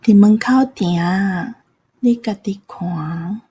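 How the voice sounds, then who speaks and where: An actress playing a practical, worn-out old village granny with a husky voice in a Taiwanese audio drama. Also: female voice, mid-range voice, slow, warm tone. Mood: breathless.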